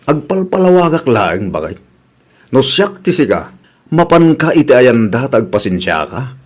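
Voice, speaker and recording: A man's voice: mid-range voice, sing-song, a little slow. A man with an Ilocano Filipino accent playing an exasperated husband who complains emphatically in a radio comedy drama. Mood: exasperated, scolding.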